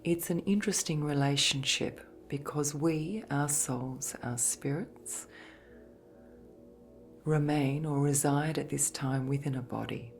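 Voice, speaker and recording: A woman gently narrating a guided sleep meditation in a soft, breathy, soothing voice, with measured phrases and long pauses. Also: a bass voice. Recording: voice over background music. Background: meditation music.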